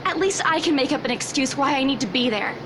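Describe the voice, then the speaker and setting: An anxious, selfless young woman from a visual novel game. Her voice is very high, and she speaks earnestly and hesitantly, as if guilty. There is clear noise behind her. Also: lively intonation, quick pace. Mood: worried.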